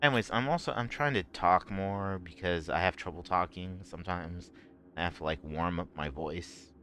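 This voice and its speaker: Low, halting male game streamer. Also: a bit bright, slight background noise. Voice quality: rough, strained voice. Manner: rambling, halting, many fillers and restarts. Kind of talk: casual gameplay commentary.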